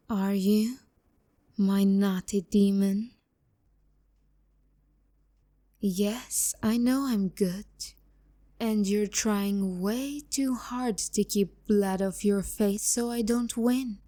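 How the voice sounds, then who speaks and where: Casting: actress as taunting female demon for romantic ASMR roleplay, unhurried and dark-toned, sharp tongue, breathy whispered asides. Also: mid-range voice. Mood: smug, teasing. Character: haughty, teasing, flustered female demon, bitter romantic rival. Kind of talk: ASMR roleplay, intimate character acting.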